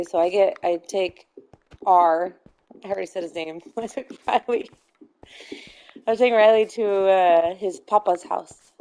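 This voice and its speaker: A woman in her thirties, a streamer with a slightly hoarse voice, telling a rambling story while gaming, correcting herself and laughing often. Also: mid-range voice, a little mellow.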